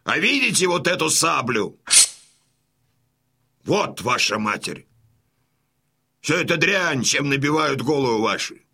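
A man's voice: a little high, big pitch swings, unhurried, gravelly, long pauses, sharp tone, slightly rough, heavily acted. An actor playing a boisterous old Cossack father in a radio play, teasing and combative with jovial exclamations. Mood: gruff, proud.

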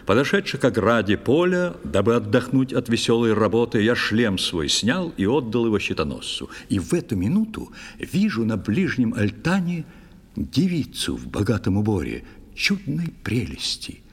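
A man's voice: medium pitch, moderate pace, slightly rough, long reverb tail. An actor playing a proud, ardent young knight who tells his adventures in dramatic verse for a children's audio play. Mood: animated recollection. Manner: dramatic, expressive declamation. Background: faint music.

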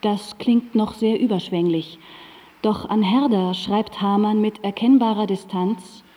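A woman narrating a radio play as a composed, erudite guide, with measured, articulate and factual delivery. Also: mid-range voice, fairly even intonation, dark tone, long reverb tail, lightly acted.

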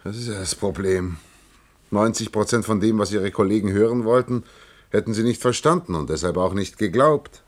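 A man plays a young scientist from another world, with a notably low voice. He is earnest and hesitant, then gravely insistent, in the measured manner of a German science-fiction radio drama. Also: natural voice. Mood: exasperated. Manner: halting, hesitant, given to grave pronouncements. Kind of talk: German radio play acting.

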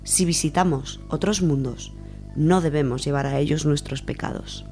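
A woman's voice: low voice, moderate pace, warm tone, soft background music. A woman from Spain presenting on radio, giving an informal, semi-prepared account in an explanatory way, restating points as she goes.

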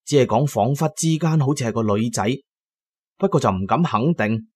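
A man narrating an adventure audio drama in a colloquial, expressive first-person style. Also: mid-range voice, normal speed, husky. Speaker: storyteller, narrator.

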